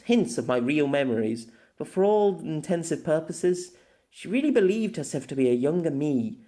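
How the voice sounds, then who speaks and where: A man's voice: mid-range voice, a little mellow, natural voice. A man voicing a weary, lonely, long-lived empress in an audiobook, reading her farewell letter in a formal, wistful manner. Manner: formal, wistful, measured with dramatic pauses. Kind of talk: scripted audiobook narration of a letter.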